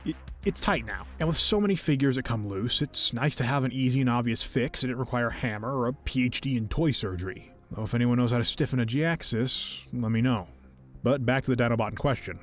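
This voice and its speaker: A young man narrating a review in a casual, expressive, enthusiastic and conversational way. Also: medium pitch. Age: young adult. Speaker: video host, toy collector. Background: music.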